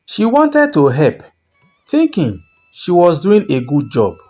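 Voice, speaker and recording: Animated storyteller over music. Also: mid-range voice, slow pace. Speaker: storyteller, narrator. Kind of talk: dramatic scripted folktale narration.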